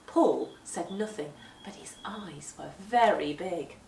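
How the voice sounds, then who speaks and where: Animated woman storyteller in an echoey room. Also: fairly low pitch, creaky, slight background noise. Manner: deliberate, animated, emphatic storytelling. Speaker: storyteller reading aloud to children. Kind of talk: expressive children's picture-book read-aloud. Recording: roomy echo, distant microphone.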